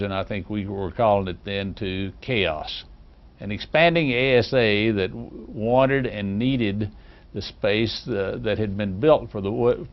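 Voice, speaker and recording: A man's voice: on the low side, muffled recording. An older man interviewing his former employee, reading a prepared introduction and then asking questions with many fillers. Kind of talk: oral history interview.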